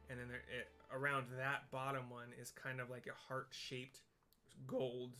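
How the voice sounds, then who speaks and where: A man giving improvised audio description of a game scene, halting and searching for plain comparisons as he goes. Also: mid-range voice, monotone, sharp tone. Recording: game music behind the voice. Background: game music.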